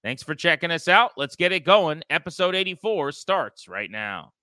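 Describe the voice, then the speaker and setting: A man hosting a sports podcast, talking baseball in an animated, conversational style with a co-host. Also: on the high side, moderate pace, no echo, studio quality.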